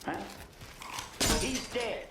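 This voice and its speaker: An actor playing an aggressive, menacing street thug in a video game. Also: male voice, drawn-out words. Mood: hostile. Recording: loud game action noise.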